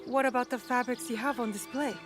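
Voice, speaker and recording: A determined, guarded game heroine in disguise, voiced by a woman. She speaks directly and with composure at a medium to slightly high pitch, with music under her. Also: fairly even intonation, fast talker, lightly acted. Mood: insistent. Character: determined, guarded female undercover avenger in feudal Japan. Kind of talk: video game voice acting.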